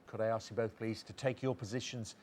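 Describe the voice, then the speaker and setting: A man hosting a television quiz show, reading questions and chatting with contestants in a brisk, upbeat way. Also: fairly low pitch, level tone, noisy background.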